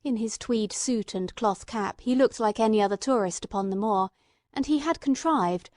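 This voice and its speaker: A woman narrates a classic novel in the first person. Her voice sits fairly high and the recording is dry, with very little room around it. It is a scripted, literary audiobook read. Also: darkish tone, no echo.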